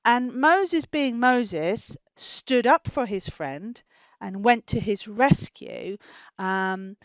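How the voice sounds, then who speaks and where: High-voiced British woman telling children's stories. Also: extremely slow, no echo. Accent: British English. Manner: animated questioning, stretches key words, tag questions. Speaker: children's storyteller and host. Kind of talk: storytelling for young children, chatting with a puppet.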